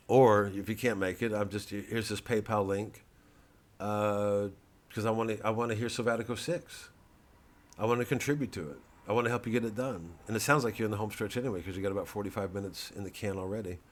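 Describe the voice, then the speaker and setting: Low voiced guy rambling to viewers, sweary and off on tangents, comedian chatting casually. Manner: irreverent, digressive, profane. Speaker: comedian promoting his show and shop.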